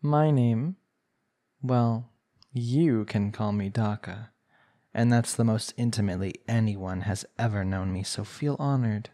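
A man's voice: on the low side, warm tone, lightly acted. A man playing a smug, bratty villain who flirts with his captive in an intimate, condescending ASMR roleplay. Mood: flirtatious, coaxing. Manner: teasing, condescending, unhurried pet-name sweet talk.

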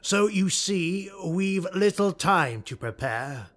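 A man narrating an audiobook, voicing a ruthless, driven male dark elf lord in an urgent, commanding, clipped way. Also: high voice, expressive, slow pace, voice pushed up, heavily acted. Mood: pressing.

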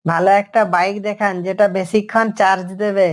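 A woman's voice: mid-range voice, fairly even intonation, fairly quick, background noise, close to an everyday voice. A woman voicing a cocky, scheming fox in a cartoon story. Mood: eager. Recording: music under the voice. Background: background music.

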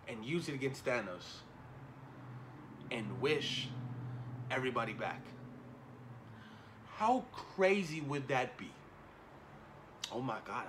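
A man in his thirties chatting casually and excitedly to camera, slangy and repeating himself for emphasis, as a reaction video host. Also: mid-range voice. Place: small indoor room at home. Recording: noticeable background noise, home camera recording.

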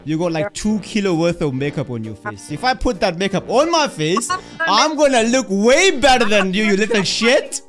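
A man's voice: high voice, sing-song, moderate pace, over music. A young man, joking with strangers on a video chat in his bedroom, teasing them and switching languages playfully. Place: small bedroom with purple lights. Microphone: studio mic on arm with headset.

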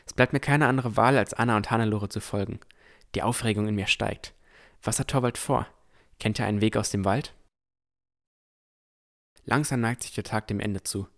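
Ein Mann spielt einen vorsichtigen jungen Entdecker, der sein Fantasy-Abenteuer bedacht und ernsthaft in der Ich-Form erzählt. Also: male voice, fairly low pitch, normal speed, creaky, pauses often. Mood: wary. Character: cautious, thoughtful young male explorer narrating his adventure. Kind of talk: first-person scripted narration in fantasy audio drama.

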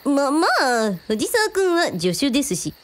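A woman's voice: high-pitched, big pitch swings. A woman playing an affectionate, girlish young sleuth with playful, quirky phrasing in a radio drama. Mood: fond exasperation. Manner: drawn-out, playful, quirky sentence endings.

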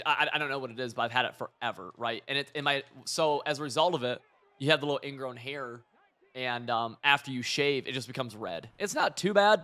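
A hoarse young man giving rambling, excitable live commentary over a football video game, mixing coaching tips with side stories. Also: mid-range voice. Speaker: gaming video creator.

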